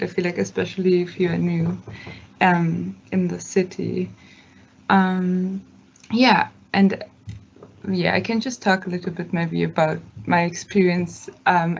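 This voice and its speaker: Young German woman, low flat gravelly voice. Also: highs cut off, slight background noise. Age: young adult. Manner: hesitant, many fillers, laughs between phrases. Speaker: student ambassador. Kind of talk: casual talk at an online open day.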